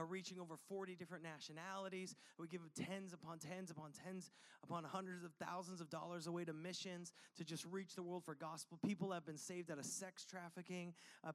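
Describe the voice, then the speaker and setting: High-voiced pastor with a cold. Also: male voice. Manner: energetic, casual and chatty, with filler words. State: sniffly, slightly losing his voice from a cold. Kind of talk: sermon.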